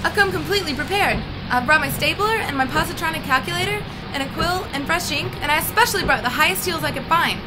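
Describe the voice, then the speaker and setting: A woman's voice: sits high, lively intonation, fast talker, hardly any pauses, background noise. A woman playing an eager, naive, chattering young secretary in a retro radio-serial parody, with a perky, gushing manner.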